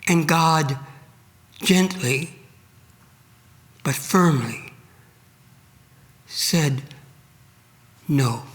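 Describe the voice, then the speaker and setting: A man with a fairly high, forceful voice narrates a sacred story. His delivery is solemn and dramatic, very slow, and broken by deliberate pauses. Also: expressive. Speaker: reader at a church Good Friday service.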